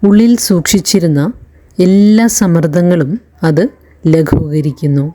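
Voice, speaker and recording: A woman leading a guided meditation in a calm, soothing narration, leaving long pauses between phrases. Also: on the low side. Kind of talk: slow guided relaxation narration.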